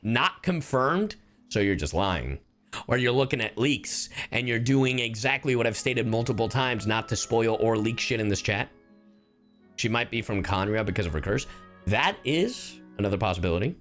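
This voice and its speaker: A man's voice: mid-range voice, expressive, normal speed, fairly bright tone, faint music behind. A man giving casual live-stream game commentary in a slangy, profane manner.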